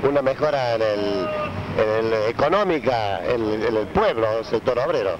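An Argentine man answers a street reporter in a medium-pitched voice. He is formal and slightly halting, and the vintage film audio is muffled with clear noise. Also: lively intonation. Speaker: passer-by interviewed. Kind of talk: earnest street interview. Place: outdoors on a city street. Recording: muffled noisy 1970 archive film sound.

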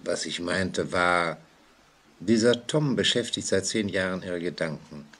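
An actor playing a composed, authoritative prison inspector in a vintage German radio play, formal, deliberate and patiently firm. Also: male voice, deep voice, fairly bright tone, husky, full character voice. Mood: firm, reassuring.

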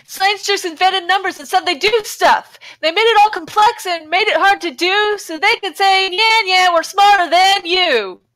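A woman's voice: very high-pitched, normal speed, few pauses, sharp tone, low-quality recording. A woman doing a casual comedic reading on a podcast, brash and sweary in manner. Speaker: podcast panelist reading parody songs.

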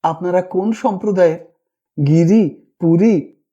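A man's voice: sits high, a little slow, mellow, highs cut off. A man voicing a brash, curious young man in a story, asking blunt, eager questions. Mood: prying, eager.

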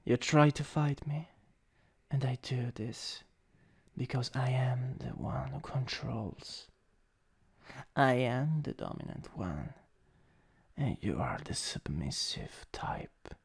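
A man with an Italian accent improvising ASMR as a teasing, domineering older stepbrother, in a halting, intimate, breathy voice that often drops to a whisper. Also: mid-range voice, lively intonation. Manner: slow, intimate, halting delivery. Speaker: ASMR roleplay performer. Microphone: close USB microphone.

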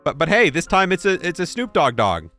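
A man's voice: fairly high pitch, very animated, fairly quick, sharp tone, faint music behind. A man giving casual, chatty, opinionated video game commentary, repeating himself for emphasis. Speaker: gaming YouTuber reviewing game content.

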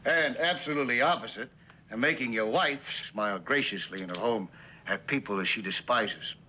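An actor playing a bitter, disillusioned middle-aged executive husband in a television drama, with a halting, sardonic delivery. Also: male voice, mid-range voice, pushed and bright, a little hiss or hum. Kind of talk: 1960s television drama acting.

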